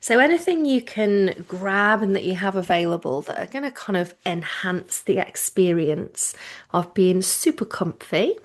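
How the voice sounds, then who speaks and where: Woman gently guiding a relaxation class. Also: mid-range voice, lively intonation. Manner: gentle, reassuring, unhurried phrasing with pauses. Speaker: yoga nidra teacher leading a class. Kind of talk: guided relaxation instruction, informal and conversational.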